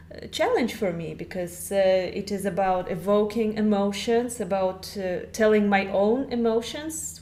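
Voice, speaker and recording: A woman speaks English as a guest on a podcast. She explains things carefully and at a measured pace, in a voice of middle pitch. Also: a little mellow. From Russia. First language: Russian. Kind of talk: podcast interview.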